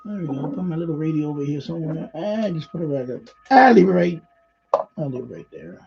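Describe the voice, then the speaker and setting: A man's voice: fairly high pitch, lively intonation, fast, creaky, a little mellow, soft background music. A man hosting a livestream, chatting casually and muttering to himself as he goes. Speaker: livestream host. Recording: dull, muffled livestream audio.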